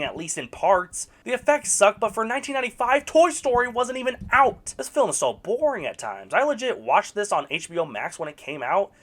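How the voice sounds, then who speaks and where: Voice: medium pitch, a bit bright. A young adult video reviewer delivering scripted movie commentary with sarcastic, blunt verdicts.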